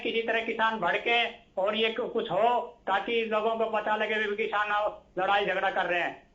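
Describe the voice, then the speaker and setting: A man's voice: high-pitched, bright, forceful tone. A man speaking as a party spokesman in a TV debate, emphatic and declamatory, repeating his points. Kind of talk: political debate speech. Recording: dull lo-fi remote link.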